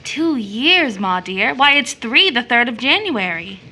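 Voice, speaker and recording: A woman's voice: on the high side, sing-song, a little slow, pushed and bright, a little hiss or hum, heavily acted. A woman playing an earnest, affectionate but troubled young daughter in an audio drama. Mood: pensive.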